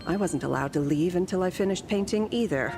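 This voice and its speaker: A woman voicing an ancient, serene female guardian in a story game, with formal, measured, gently teasing phrasing. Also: lowish voice, over music, close to an everyday voice. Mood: amused, composed.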